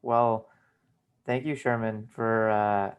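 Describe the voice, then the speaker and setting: A man hosting a podcast, with a lowish voice. He speaks in a polite, measured way, thanking his guest and wrapping up the talk. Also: steady tone, highs cut off, slight background noise. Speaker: podcast host. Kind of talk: casual interview.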